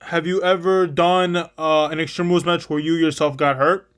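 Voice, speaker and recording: Male podcast host, clear tone, asks in halting bursts. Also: on the high side, little pitch movement, fairly bright tone. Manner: hesitant, restarts questions, short reactions. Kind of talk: interviewing a guest.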